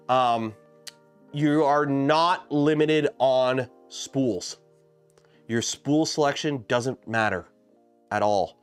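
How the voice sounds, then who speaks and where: A man hosting a casual livestream, chatting in a rambling way with many fillers and restarts while losing his voice. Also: medium pitch. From Canada. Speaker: livestream host building a 3D printer kit.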